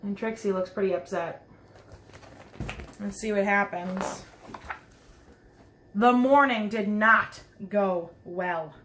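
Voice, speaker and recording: A woman's voice: mid-range voice, slow pace, pauses often, fairly bright tone, slight background noise. A woman preschool teacher reading a picture book aloud to children, expressively, with chatty asides and simple explanations. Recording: roomy, echoing sound.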